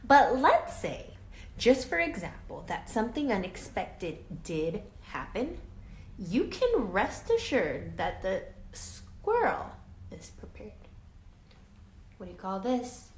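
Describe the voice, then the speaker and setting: A woman reading a children's picture book aloud like a teacher, deliberate and emphatic, drawing out words and adding explanatory asides. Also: medium pitch, very animated. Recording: echoey room, faint background noise.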